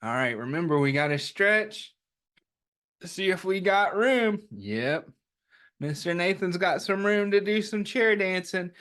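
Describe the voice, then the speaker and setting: A man's voice: high-pitched, expressive, a little slow, a bit bright. A man hosting a story time, talking to young children in simple, encouraging, chatty language. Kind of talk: read-aloud and chatty address to young children.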